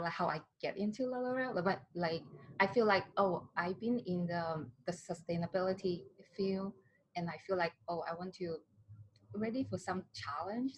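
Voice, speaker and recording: A woman's voice: fairly low pitch, a little hiss or hum, rough recording. A woman in her thirties from southern China, speaking English with many fillers and restarts as a guest in a casual interview. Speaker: skincare brand founder, guest.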